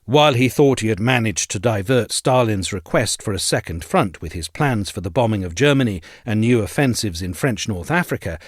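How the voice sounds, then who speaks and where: A male narrator reads formal prose in a low voice, with wide, expressive swings of pitch. His delivery is measured and articulate, and the recording is dry and close. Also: no room sound. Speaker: narrator reading an essay aloud. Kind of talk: scripted narration of a history essay.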